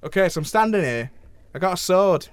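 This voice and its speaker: A man plays a blunt, cheeky guest with a broad Northern English accent who calls everyone mate. The voice swings lively in pitch and sounds smooth and clear. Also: a little fast, no room sound.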